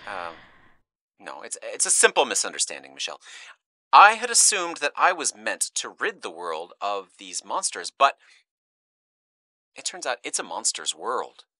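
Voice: mid-range voice, wildly swooping pitch, moderate pace, dry recording. An actor playing a despairing, unhinged zealot who delivers brooding, menacing monologues in a horror audio drama. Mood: reverent, bitter. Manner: brooding monologue swinging from hushed to explosive.

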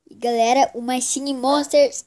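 High-pitched child gamer with a stuffy cold. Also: level tone, measured pace, darkish tone. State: congested with a cold. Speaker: gaming channel host. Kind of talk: casual mobile game commentary.

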